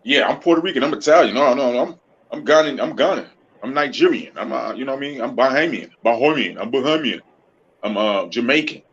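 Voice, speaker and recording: A man in casual chat with a laid-back, drawn-out way of talking. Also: mid-range voice, fairly bright tone, slight background noise. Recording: dull lo-fi line.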